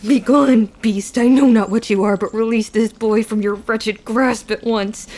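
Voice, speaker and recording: Audio drama lead: stubborn, brooding young witch, mellow tone, contained pitch, period wording. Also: fairly even intonation. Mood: defiant, alarmed. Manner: measured, formal old-fashioned phrasing. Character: guarded, stubborn, brooding young witch narrating her own story. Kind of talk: scripted first-person audio drama narration.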